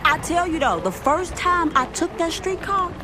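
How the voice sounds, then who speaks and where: A woman's voice: extremely high pitch, big pitch swings, moderate pace, noisy background. A woman playing a hopeful migrant in a radio drama, reminiscing with wonder. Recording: music and city street behind.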